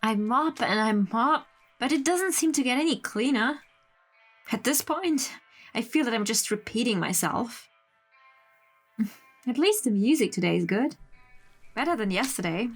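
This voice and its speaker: Woman playing high-voiced, sweetly obsessive young woman. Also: lively intonation, measured pace, pauses often, faint music behind. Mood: doting, chirpy. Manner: cooing, chatty, self-talking. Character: sweetly obsessive, unhinged young woman doting on captive lover. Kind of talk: radio drama acting.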